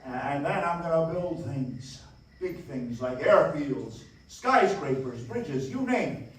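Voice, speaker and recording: Eager, awkward young dreamer for a stage radio play; stammering, highish voice, heavily echoing hall. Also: male voice, lively intonation, slow. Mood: eager, boastful. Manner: stammering, hesitant, rambling. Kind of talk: live radio play acting, 1940s style. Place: live stage in a dance hall. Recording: very echoey hall, distant mic, faint noise.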